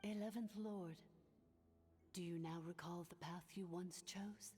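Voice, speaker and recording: Game casting: woman playing an ancient machine mind, lowish, mellow, formal and imperious, long reverb. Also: steady tone, natural voice. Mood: solemn. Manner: formal, measured, detached. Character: calm, solemn, imperious ancient machine intelligence. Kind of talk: anime-style video game voice acting. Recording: long reverb, music behind.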